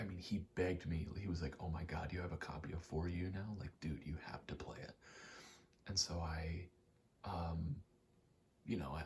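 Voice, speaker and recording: A man rambling casually in a soft, half-whispered ASMR style, telling stories in slangy, meandering, sweary speech. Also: deep voice, gravelly, a little hiss or hum. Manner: soft-spoken, often dropping into whisper. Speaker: ASMR video host.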